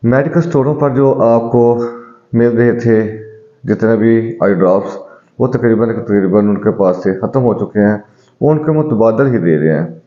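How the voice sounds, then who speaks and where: A man who is a homeopathic doctor, calmly explaining home eye remedies to his viewers and addressing them as friends. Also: fairly low pitch, moderate pace. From Pakistan. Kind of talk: health advice video to viewers. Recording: dull, echoey room recording.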